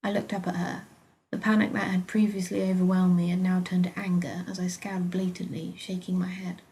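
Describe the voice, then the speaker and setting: A woman with a British accent reading her own story aloud as an expressive first-person narrator. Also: lowish voice, a little fast, poor audio.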